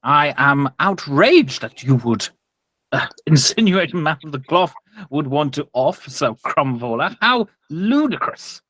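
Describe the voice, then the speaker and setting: A man's voice: fairly high pitch, big pitch swings, a little slow, a bit bright, dry recording, voice pushed up, full character voice. Man playing a pompous, formal church father. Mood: outraged, offended. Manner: formal, stately, indignant when challenged.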